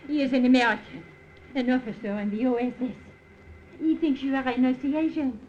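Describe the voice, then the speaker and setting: A woman's voice: high-pitched, fairly even intonation, brisk, close to an everyday voice. Passionate woman spy played by an actress, muffled recording. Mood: confessing, passionate. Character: passionate, secretive French woman spy in love. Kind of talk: 1940s-50s radio acting, melodramatic. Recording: dull, faintly noisy old radio recording.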